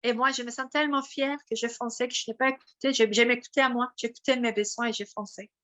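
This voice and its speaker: Bright-voiced woman. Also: on the high side, fast. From Mexico. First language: Spanish. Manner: animated storytelling with many restarts. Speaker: guest. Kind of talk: casual interview, personal story.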